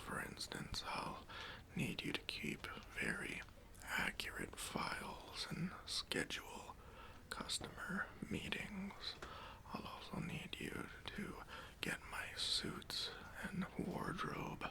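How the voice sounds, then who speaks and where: Man playing a whispering, creaky, pompous boss. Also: on the high side, bright, forceful tone, heavily acted. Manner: pompous, condescending, halting with drawn-out pauses. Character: self-important, demanding, petty male boss conducting a job interview. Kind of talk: whispered ASMR roleplay.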